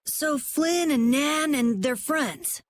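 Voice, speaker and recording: A woman's voice: high-pitched, expressive, slow pace. A woman voicing an earnest, inquisitive young boy adventurer in a video game. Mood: curious. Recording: music under the voice.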